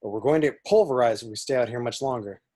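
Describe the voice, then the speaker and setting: A man's voice: mid-range voice, fast, warm tone, close to an everyday voice. Roguish starship pilot, acted by a man: cocky, wry, mid-range voice for sci-fi drama. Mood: wry, urgent. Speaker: amateur actor in a table read. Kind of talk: dramatic script read-through.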